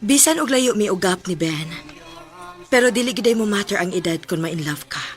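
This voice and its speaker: A woman acting the gentle heroine of a Cebuano radio love story, a married woman attached to an older man. She has a medium-pitched voice with a Cebuano accent and an affectionate manner. Faint music runs behind her. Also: expressive, normal speed, close to an everyday voice. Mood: wistful narration.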